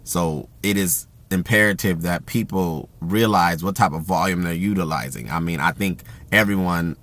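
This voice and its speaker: A man talking casually about communication in an even, self-described monotone, with a loud voice that carries and plenty of fillers and restarts. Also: sits low, normal speed. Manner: rambling with frequent fillers and restarts. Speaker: host.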